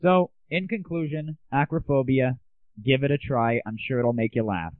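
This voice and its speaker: A man's voice: mid-range voice, normal speed. A young man hosting a casual solo audio show, opinionated and irreverent, with mild swearing. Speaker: podcast host. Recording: lo-fi phone-like audio, music underneath.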